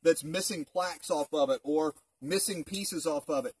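A man's voice: a little high, moderate pace, breathy edge. A man in his thirties, a park ranger, gives an informal history talk to a group outdoors, conversational and full of rhetorical questions, picked up by a camera a few metres away. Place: outdoors in open woods. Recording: distant outdoor mic.

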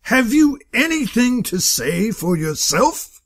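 A man's voice: extremely high pitch, big pitch swings, takes it slowly, breathy edge, full character voice. A man playing a stern, pompous court-martial judge in an audio drama, speaking in formal, declamatory oratory. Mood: stern, condemning.

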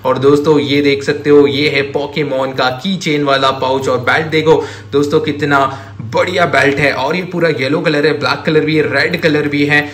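A man's voice: fairly high pitch, steady tone, normal speed, a bit bright. A man enthusiastically showcasing products to viewers, gushing and addressing them as friends. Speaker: host of a toy showcase channel. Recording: roomy echo, audible background noise.

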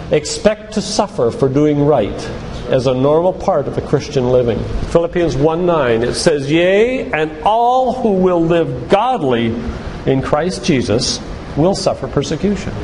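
An older man giving an emphatic, preacherly church lecture, putting rhetorical questions to his listeners. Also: fairly high pitch. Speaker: physician giving a faith-based lecture. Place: church, speaking to a congregation. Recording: echoey church hall with background noise.

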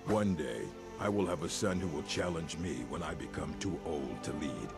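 An actor playing a proud, battle-hardened orc chieftain in a fantasy game, stern and declamatory. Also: male voice, very deep voice, moderate pace, gravelly, music in the background. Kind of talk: fantasy video game dialogue.

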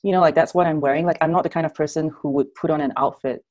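A woman's voice: deep voice, quick pace, dull audio. A woman in her thirties from Malaysia, chatting and laughing easily as a guest on a video call, speaking through an earphone mic in a small room at home. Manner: relaxed, giggly, chatty. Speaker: guest content creator. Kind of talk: casual video call interview.

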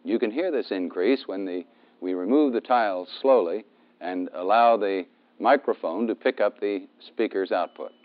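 A man's voice: on the low side, normal speed, slight background noise. An American man giving methodical instructional narration as a training film instructor, stressing key terms. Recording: dull, band-limited 1970s film soundtrack.

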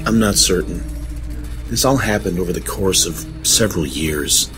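A man playing a troubled, genteel suspect in an audio horror drama, halting, formal and earnest. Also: low voice, music in the background, close to an everyday voice. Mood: haunted, reserved. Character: troubled, genteel man confessing to police, desperate.

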